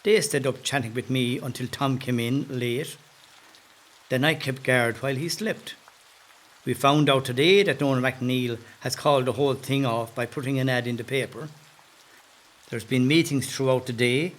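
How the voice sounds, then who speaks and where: An actor with an Irish accent playing a rebel's affable, wry brother in a first-person audio drama monologue. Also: male voice, medium pitch, normal speed, lightly acted. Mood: confiding, concerned.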